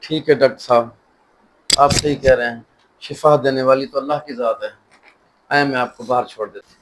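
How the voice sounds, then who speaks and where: A man's voice: mid-range voice, steady tone, moderate pace, noisy background, natural voice. A man playing a dutiful, anxious and deferential elder son in a moral short drama. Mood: respectful, contrite. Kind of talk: Urdu moral short-film acting.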